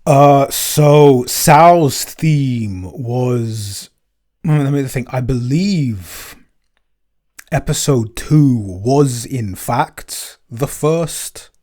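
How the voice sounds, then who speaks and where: A man's voice: medium pitch, extremely slow, darkish tone. A man chatting casually in a Q&A, hesitant and often repeating himself as he searches for words. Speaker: podcast co-creator, composer and episode writer. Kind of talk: casual Q&A chat.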